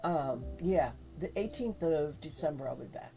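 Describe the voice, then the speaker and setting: A woman with a head cold chatting casually on a livestream while she spins yarn at home, rambling with many fillers and halting pauses. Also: deep voice, lively intonation, poor audio. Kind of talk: casual chat while spinning. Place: home craft room. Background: faint quiet music.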